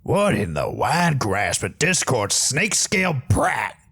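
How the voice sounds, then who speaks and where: Casting: a woman as a gruff griffin guy, slow, husky and rough, for a fantasy audio story. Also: a bass voice, big pitch swings, a bit bright, pitched below the performer's own voice, full character voice. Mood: startled outrage. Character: gruff, startled male griffin companion.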